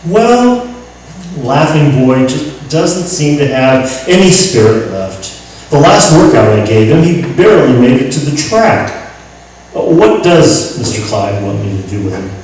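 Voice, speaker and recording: Man acting an animated horse trainer, very echoey hall. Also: mid-range voice, a little slow. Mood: puzzled, obliging. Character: folksy, obliging male racehorse trainer. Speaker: radio play actor. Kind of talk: old-time radio comedy acting. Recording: very echoey hall, distant, faint noise.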